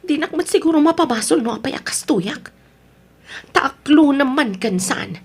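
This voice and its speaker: A woman's voice: high-pitched, expressive, normal speed, a little mellow. A woman playing an unhappy wife and mother who wants her freedom, in an Ilocano radio drama. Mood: bitter, resolute.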